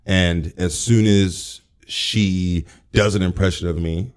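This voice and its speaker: Deep-voiced, self-mocking male comedian. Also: fairly even intonation, takes it slowly, smooth. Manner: joking, self-deprecating. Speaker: guest comedian. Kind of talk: casual podcast chat.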